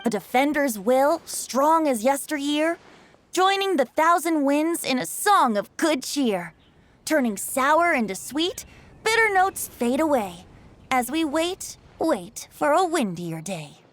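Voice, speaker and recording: An actor voicing a playful young bard who speaks in a lilting, singsong way in a game. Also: male voice, extremely high pitch, sing-song, slow pace, fairly bright tone, heavily acted. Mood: singing verse. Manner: lilting, singsong, theatrical sighs. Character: playful young male bard, carefree and teasing.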